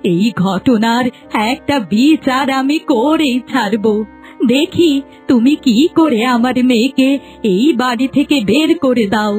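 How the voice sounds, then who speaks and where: A woman playing a frail, devoted old village mother in a cartoon, speaking in a slow, drawn-out, plaintive way. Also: high voice, a little mellow. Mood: aggrieved, pleading. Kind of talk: melodramatic cartoon acting. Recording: music bed under voice.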